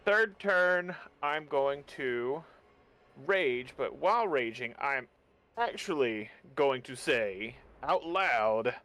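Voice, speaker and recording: A man with a bright, forceful voice playing a tabletop game. He thinks aloud as he plans his character's turn, upbeat throughout. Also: medium pitch, lively intonation, slow. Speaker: player.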